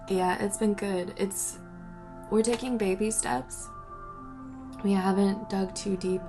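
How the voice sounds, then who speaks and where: Young woman w/ a bit of vocal fry, rambly q&a vlog chat, faint music under it. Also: mid-range voice. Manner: rambling, self-interrupting, laughs between thoughts. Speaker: vlogger answering viewer questions. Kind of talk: casual Q&A chat.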